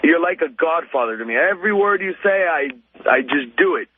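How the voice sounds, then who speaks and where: A man's voice: a little high, expressive, a little fast. A man calling a radio show, ranting casually in an emphatic, exasperated way. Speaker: radio show caller, salesman. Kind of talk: casual phone-in rant. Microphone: telephone. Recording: tinny phone line with faint noise.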